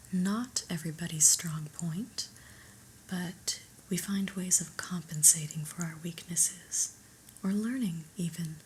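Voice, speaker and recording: Slow whispering stern woman boss, acted in ASMR. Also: lowish voice, slight background noise, rough recording. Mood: reproving, dry. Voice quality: breathy whisper. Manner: measured, formal, reproving. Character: stern, unimpressed new female office boss. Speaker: ASMR performer. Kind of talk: whispered ASMR roleplay monologue.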